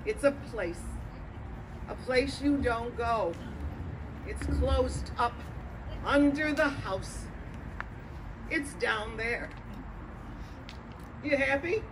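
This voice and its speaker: A woman's voice: fairly high pitch, drawn-out words, pauses often. A woman playing a cranky, guarded interviewee in a comic dramatic monologue. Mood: defensive, curt. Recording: live venue, audience and background noise.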